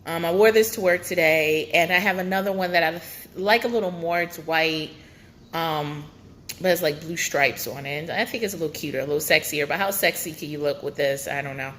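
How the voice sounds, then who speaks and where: A woman's voice: deep voice, a little fast, a bit bright, slight background noise. A young woman vlogging a casual pregnancy update, chatty and rambling with many fillers, her nose stuffed up.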